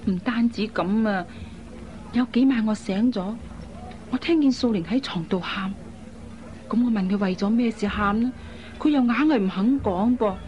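An actress playing a worried, caring young woman in a radio drama, with an earnest, expressive delivery. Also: mid-range voice, normal speed, pauses often, lightly acted. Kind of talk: Cantonese radio drama acting. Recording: dull lo-fi recording, faint noise.

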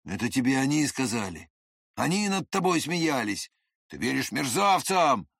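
A man voicing a spiteful, pushy young swindler with an irritable, scornful, excitable manner in an audiobook. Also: medium pitch, normal speed, creaky, slightly rough, no room sound, clean studio sound, heavily acted. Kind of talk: dramatized audiobook character voice.

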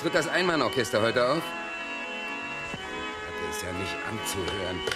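A man's voice: fairly low pitch, very animated, moderate pace, over loud music. An actor playing an irritable, insistent male press visitor at a circus in a radio play. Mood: irritated impatience. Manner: insistent, demanding. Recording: roomy sound, faint crowd.

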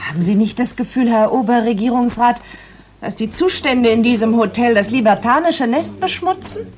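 A woman's voice: fairly high pitch, moderate pace, a little mellow, slight background noise, natural voice. A young woman playing a bold, pointed, idealistic character in a 1960s radio drama.

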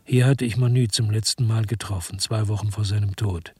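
An actor playing a weary, dogged French detective who narrates his noir radio crime story in a measured, laconic way. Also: on the low side, steady tone, a little mellow, breathy edge, no echo. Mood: brooding. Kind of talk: first-person narration in a noir radio drama.

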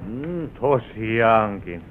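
An actor playing a genial, curious gentleman manor owner, polite and well-spoken, in a theatrical vintage radio drama style. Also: lowish voice, lively intonation, noisy background, close to an everyday voice. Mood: pleased. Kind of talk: vintage Finnish radio play acting. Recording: thin, phone-like old radio recording.

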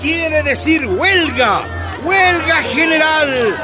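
A man's voice: very high-pitched, animated, extremely slow, heavily acted. A man playing a defiant union leader in a radio drama, declaiming to fellow miners. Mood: rousing, defiant. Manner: declamatory, calling out to a crowd. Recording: busy crowd scene under loud music. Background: crowd and loud music.

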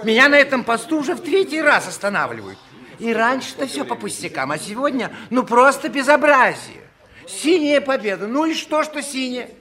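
A man's voice: extremely high pitch, sing-song, normal speed, pushed and bright, full character voice. A man playing a fussy, pedantic and exasperated motorist in a 1960s Russian radio play. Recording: old radio recording, faint voices behind.

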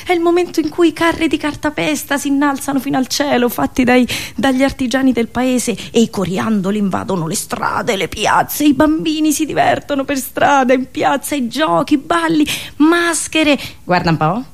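A woman radio host telling a satirical story theatrically, expressive and deliberate, with dramatic pauses between listed phrases. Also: high voice.

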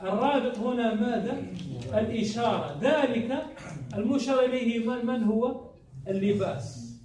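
High-voiced man teaching in an echoey room. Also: moderate pace, a little mellow, slight background noise. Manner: quizzes the class with repeated prompt questions. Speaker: grammar teacher. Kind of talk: classroom lesson. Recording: echoey room, distant mic.

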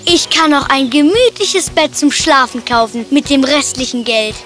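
Carefree piglet brother played by a woman, high and breezy, cocky cartoon fairy-tale delivery over music. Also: expressive, normal speed, fairly bright tone. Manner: boastful, breezy. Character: cocky, carefree young male pig, eldest of three brothers.

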